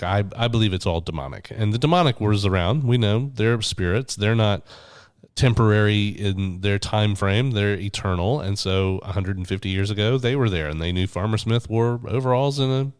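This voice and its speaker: A man's voice: on the low side, normal speed, clean tone, dry recording, studio quality. A man in his forties, getting over the flu, co-hosting a faith podcast and telling a story thoughtfully. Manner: storytelling, thoughtful, with frequent restarts. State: recovering from flu, voice deeper than usual. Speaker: podcast co-host, minister. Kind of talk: casual faith podcast chat.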